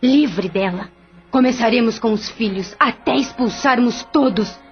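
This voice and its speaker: A woman's voice: on the high side, a little slow, lightly acted. Woman voicing a sneering vengeful female spirit. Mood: scheming. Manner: mocking, sneering, laughs between lines. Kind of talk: radio drama acting. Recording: muffled lo-fi audio with music.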